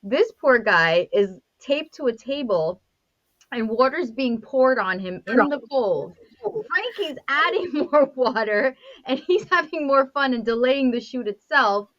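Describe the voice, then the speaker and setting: A woman's voice: a little high, fairly bright tone, dry recording. A woman vividly telling a story from a cold day on set during a podcast chat. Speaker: cast member recalling filming. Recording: dry, dull lo-fi sound.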